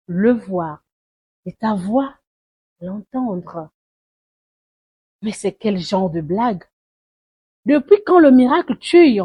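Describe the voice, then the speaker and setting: A woman recites a poem dramatically, in a solemn, mournful and deliberate way, swelling and hushing her phrases. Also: a little high, husky. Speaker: poetry reciter. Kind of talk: dramatic spoken-word poetry recitation.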